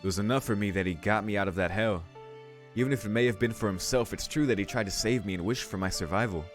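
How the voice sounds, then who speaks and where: A man's voice: on the low side, fast talker, soft background music. A man reading visual novel narration aloud dramatically as a let's play host.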